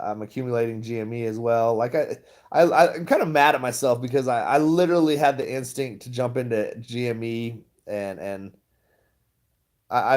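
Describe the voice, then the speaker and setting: A man's voice: mid-range voice, darkish tone. A man chatting casually to his viewers, rambling and laughing often. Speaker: stock vlogger. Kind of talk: casual livestream chat.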